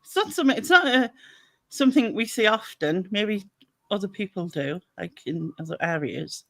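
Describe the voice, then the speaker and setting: A woman's voice: medium pitch, lively intonation, moderate pace, fairly bright tone, dry recording. Easygoing British woman in casual chat. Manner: easygoing, reads chat messages aloud.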